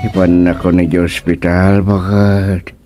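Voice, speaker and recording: A man's voice: low voice, level tone, takes it slowly, loud music behind the voice. A man with an Ilocano accent playing an ailing, indignant, stubborn village official in a radio comedy drama, speaking in a grumbling way.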